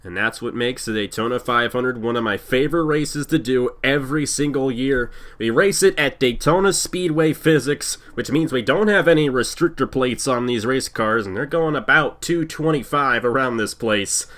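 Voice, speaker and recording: A man with a congested voice from a cold, calling a simulated stock car race as play-by-play host in an energetic, sing-song announcer style. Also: medium pitch, normal speed, few pauses. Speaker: play-by-play commentator. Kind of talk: sim racing broadcast commentary.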